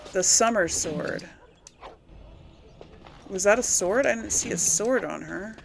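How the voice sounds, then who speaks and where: Gravelly-voiced woman thinking aloud while gaming. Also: mid-range voice, takes it slowly, slight background noise. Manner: thinking aloud, self-questioning. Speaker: gamer hosting a role-play playthrough. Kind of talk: casual game commentary.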